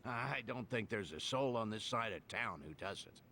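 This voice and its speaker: Game dub: easygoing old local, low voice, lively folksy delivery, amused. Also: male voice, normal speed, creaky, a little hiss or hum, exaggerated voice. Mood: candid. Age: older. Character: genial older local man, chatty and easygoing. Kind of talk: English video game dub acting.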